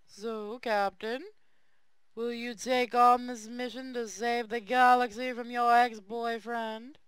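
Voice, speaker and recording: A woman's voice: on the high side, a bit bright, clean tone, dry recording. A woman playing an earnest space navy officer in a visual novel read-aloud.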